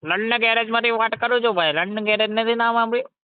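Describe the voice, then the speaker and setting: Man acting as high-pitched, fast, exasperated mechanic. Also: clean tone, no echo. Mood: impatient. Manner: scolding, impatient, swearing constantly. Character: exasperated foul-mouthed male garage mechanic demanding payment. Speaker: performer in a scripted comedy call. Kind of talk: scripted comedy phone call. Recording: dull lo-fi phone call recording.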